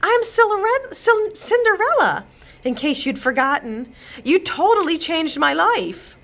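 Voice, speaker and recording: Young fairy-tale princess, acted by a woman: eager, polite, effusive, pitched high. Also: very animated, a little slow, gravelly, fairly bright tone, heavily acted. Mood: gushing. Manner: effusive, gushing.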